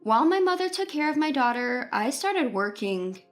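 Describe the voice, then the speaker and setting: Casting: female narrator, high voice, scripted first-person storytelling over faint music. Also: sing-song, moderate pace.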